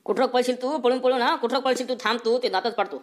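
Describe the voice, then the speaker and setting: Man doing a very rapid-fire strict village schoolmaster. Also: very high voice, steady tone, music in the background. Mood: furious. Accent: rural Marathi. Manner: stern, scolding, threatening. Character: strict, short-tempered village male schoolteacher. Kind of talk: comic cartoon dialogue.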